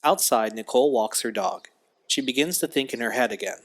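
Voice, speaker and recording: A man's voice: medium pitch, brisk. A man narrating an audio drama from a script, describing the action in a matter-of-fact way.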